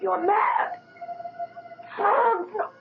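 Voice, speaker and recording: An actress playing a cold, scornful young wife in a 1970s radio drama. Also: female voice, extremely high pitch, big pitch swings, extremely slow, creaky, over loud music, full character voice. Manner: sharp and scornful. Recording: narrow phone-like old radio broadcast.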